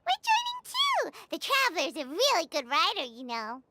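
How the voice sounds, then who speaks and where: A woman's voice: very high voice, wildly swooping pitch, moderate pace, background noise, full character voice. A woman voicing a tiny, chirpy, childlike fairy companion in a video game, perky and talking about herself in the third person. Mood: perky, teasing.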